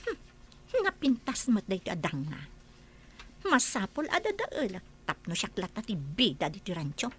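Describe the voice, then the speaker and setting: A woman voicing a sharp-tongued nanny and housekeeper who scolds and mocks the newcomers. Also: mid-range voice, huge pitch swings, normal speed, slight background noise. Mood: snide. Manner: scolding, sarcastic.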